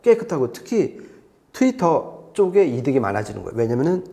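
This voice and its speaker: A man's voice: medium pitch, very animated, fairly quick, creaky, dark tone. A man speaking as an audio expert, explaining things conversationally and step by step, often repeating points for clarity. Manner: didactic, explains step by step with repetition.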